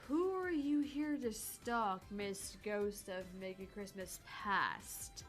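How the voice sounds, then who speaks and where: A woman voicing a dry, weary, deadpan bartender in a cyberpunk visual novel. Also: mid-range voice, slow, faint music behind, lightly acted. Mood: stern, wry.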